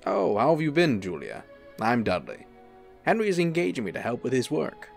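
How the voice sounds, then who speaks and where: Man playing a charming, creaky-voiced angel. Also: mid-range voice, wildly swooping pitch, moderate pace, faint music behind. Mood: breezy, charming. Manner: smooth-mannered, reassuring, playful. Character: genial, unflappable, charming male angel. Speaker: actor. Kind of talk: radio-play style drama acting.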